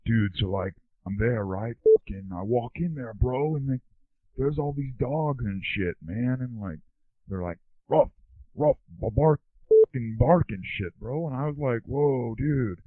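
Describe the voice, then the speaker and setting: Slangy slacker dude on a phone line. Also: male voice, mid-range voice, lively intonation, unhurried, no echo. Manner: stoner-like slacker delivery, slangy. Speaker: comic show guest. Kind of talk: rambling slangy comic monologue. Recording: dry, tinny phone line.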